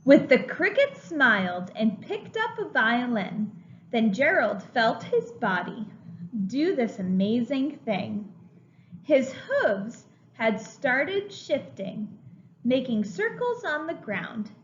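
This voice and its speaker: A preschool teacher reading a picture book aloud, with lively, expressive storytelling for small children. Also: female voice, fairly high pitch, slow pace. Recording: dull lo-fi sound with faint room noise.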